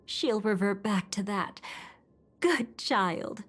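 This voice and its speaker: A voice actress playing a sly, seductive, villainous femme fatale in a video game, taunting in a drawn-out, theatrical way. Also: female voice, medium pitch, animated. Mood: cold, smug.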